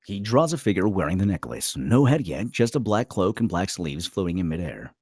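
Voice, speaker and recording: A man's voice: deep voice, big pitch swings, a little fast, a little mellow, slightly rough, dry recording, studio quality. A man reading screenplay stage directions aloud as a dramatic narrator at a table read.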